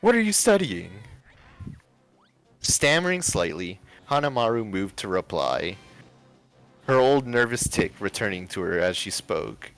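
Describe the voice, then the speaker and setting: A man's voice: lowish voice, sing-song, measured pace, fairly bright tone, clean tone. A man reading a story aloud as a livestream narrator, expressively and with casual asides. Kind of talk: expressive fan fiction read-aloud with casual banter. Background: music.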